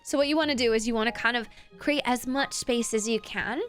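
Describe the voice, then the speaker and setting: A woman's voice: fairly high pitch, brisk, a bit bright, faint music behind. A young woman streamer, giving a chatty, giggly voice lesson to her chat and swearing casually. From Canada.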